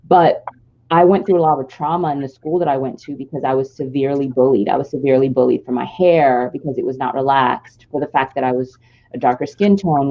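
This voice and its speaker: A woman's voice: very deep voice, dull audio. A Nigerian-born woman with no trace of an accent, talking earnestly and reflectively in a long, flowing personal story on a podcast. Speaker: podcast co-host.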